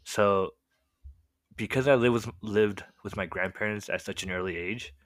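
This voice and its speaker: Young man, very low voice, dry close sound, laid-back rambling storyteller, lots of filler words, first language Korean. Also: moderate pace, no echo. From United States. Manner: relaxed, rambling, heavy use of "like" and "um" fillers. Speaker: podcast guest telling his life story. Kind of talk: casual storytelling chat.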